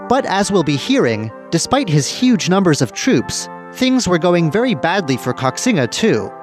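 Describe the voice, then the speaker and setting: A man's voice: high voice, big pitch swings, normal speed, soft background music. A man hosting a history radio program, reading scripted narration and putting questions to the listener.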